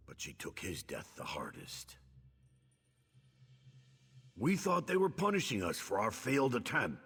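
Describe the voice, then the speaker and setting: A man's voice: deep voice, gravelly, a bit bright, in a large hall, full character voice. A man voicing a wry, musing thieves guild member in a video game, with a theatrical delivery. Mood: hushed, somber.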